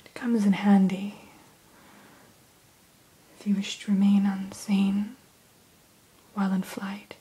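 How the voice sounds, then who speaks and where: A woman whispering softly as a dragon hatchery keeper in an ASMR roleplay, gentle and a little formal, close to the mic. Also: medium pitch, monotone, rough recording. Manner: soft whisper throughout; gentle, unhurried, mildly formal.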